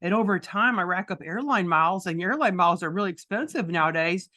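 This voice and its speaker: Woman, deep voice, bright tone, podcast host, casual rambling chat. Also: lively intonation, fast talker. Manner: chatty, rambling storyteller with many restarts.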